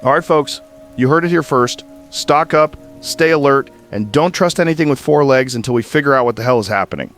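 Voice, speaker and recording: A man's voice: mid-range voice, a little fast, fairly bright tone, soft background music, close to an everyday voice. A man playing a brash, conspiracy-minded radio talk show host in an audio drama, informal and punchy. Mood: brash, warning.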